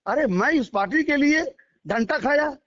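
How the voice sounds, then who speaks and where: A dull, lo-fi phone recording of a man with a distinctly high voice and a Bihari accent. He talks in an emphatic, colloquial way, repeating himself as he makes his political points. The sound is close and dry. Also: expressive, unhurried, fairly bright tone, dry recording. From Bihar, India. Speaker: local politician being interviewed. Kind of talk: spontaneous political phone interview. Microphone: phone call.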